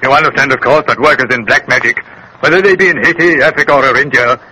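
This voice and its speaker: An actor playing a grave, assured colonel in a 1930s radio drama, speaking in a formal, declamatory, lecturing way. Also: male voice, medium pitch, quick pace, sharp tone, slightly rough. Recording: noisy 1930s radio recording.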